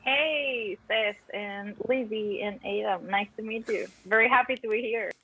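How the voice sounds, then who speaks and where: A woman's voice: medium pitch, measured pace, a bit bright, husky, rough recording. A chatty, emphatic young woman with a Costa Rican Spanish accent, a native Spanish speaker, chatting as an interview guest. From Costa Rica. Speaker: podcast guest, music education PhD student. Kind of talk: casual interview chat.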